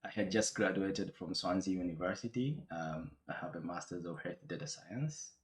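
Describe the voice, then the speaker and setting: Young man from Tanzania, very low voice, relaxed interview guest. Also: normal speed, echoey room. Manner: relaxed, chatty storytelling. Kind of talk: casual interview chat.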